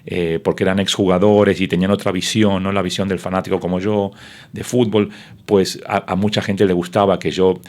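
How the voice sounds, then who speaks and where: A man's voice: deep voice, little pitch movement, fairly quick, a little mellow. A middle-aged man, a sports broadcaster whose first language is Spanish, telling his story in an interview with many fillers and restarts.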